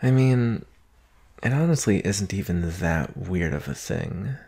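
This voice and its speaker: A man acting a doting, analytical boyfriend in an intimate ASMR roleplay, gentle and musing with long pauses. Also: sits low, expressive, gravelly, husky, heavily acted. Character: doting boyfriend, soft-spoken, teasing, analytical former forensics student.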